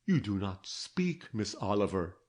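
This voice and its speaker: A man voicing a stern, patient older detective in a storybook reading, pressing his questions in a grave, measured way. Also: lowish voice, very animated, darkish tone.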